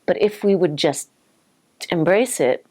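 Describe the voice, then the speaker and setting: A woman with a low, husky voice speaks reflectively, as someone sharing thoughts in an interview. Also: measured pace, darkish tone.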